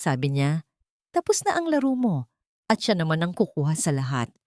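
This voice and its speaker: A woman's voice: deep voice, huge pitch swings, normal speed, a little mellow, dry recording, clean studio sound. A woman voicing a nervous, deferential male aide giving warnings in an audiobook drama. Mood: anxious. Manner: anxious, respectful.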